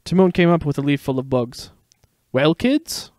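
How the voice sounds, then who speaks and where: A man's voice: fairly high pitch, quick pace, a little mellow, dry recording. A man giving a dramatic narration of a story. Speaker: fan fiction reader. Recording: background music under a dry voice.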